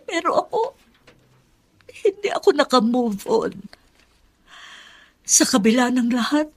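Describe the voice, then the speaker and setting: A Filipino woman playing a forgiving middle-aged spinster aunt in a radio drama, earnest and gently persuasive. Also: sits high, big pitch swings, measured pace, breathy edge, full character voice. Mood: earnest, pleading.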